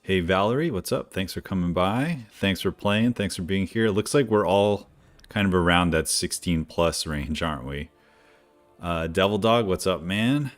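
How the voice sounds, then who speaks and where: A man streaming a game live, chatting casually with viewers, with a smooth radio-like voice and a slightly stuffy nose from allergies. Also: low-pitched, moderate pace, soft background music. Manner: relaxed, chatty, greets viewers by name. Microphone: decent studio microphone.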